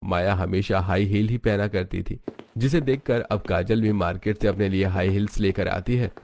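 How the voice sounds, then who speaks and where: A man's voice: low-pitched, steady tone, moderate pace, darkish tone. A man narrating a scripted story as its storyteller. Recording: music bed under voice. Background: background music.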